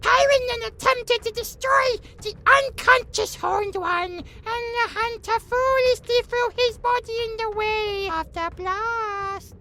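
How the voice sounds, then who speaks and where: A woman's voice: extremely high pitch, takes it slowly, few pauses, heavily acted. A woman playing a tiny, cheeky, boastful pixie lord in a fantasy audio drama, with haughty theatrical delivery. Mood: grave storytelling. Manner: haughty, theatrical, speaks of self grandly.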